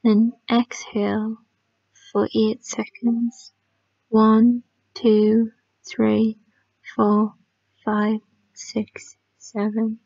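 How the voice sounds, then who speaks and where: A woman leading a guided sleep meditation in a soft, calming manner, often trailing off into a near-whisper. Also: a little high, very slow, gravelly, pauses often, dry recording. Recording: dry, slightly muffled lo-fi sound.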